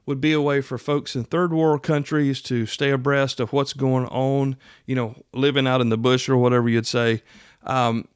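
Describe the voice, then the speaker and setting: A man's voice: mid-range voice, smooth, dry recording, studio quality. An American man hosting a podcast solo, talking casually in a folksy, conversational way straight to his listeners. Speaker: podcast host.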